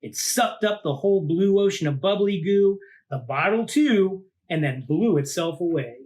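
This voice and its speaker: A man, a teacher, reading a children's picture book aloud in an informal, expressive way with casual asides. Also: high voice, normal speed.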